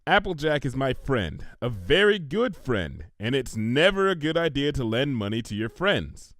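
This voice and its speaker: A man's voice: medium pitch, big pitch swings, normal speed, fairly bright tone, dry recording, studio quality. A man voicing a prim, bookish young female cartoon pony, earnest and precise as she lectures. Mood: prim, lecturing. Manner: lecturing, precise, matter-of-fact.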